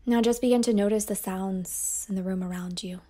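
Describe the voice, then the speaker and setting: Woman talking you through yoga nidra, soft and even, kind of monotone, slips into whispers. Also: mid-range voice. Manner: slow, soft-spoken and soothing, often trailing into a whisper. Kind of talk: guided meditation.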